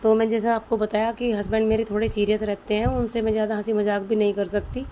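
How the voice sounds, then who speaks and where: A woman's voice: mid-range voice, flat delivery, fast, dark tone, slight background noise. Chatty woman vlogger with a sore throat. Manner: chatty, homely, addresses viewers directly. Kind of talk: casual home vlog voice-over.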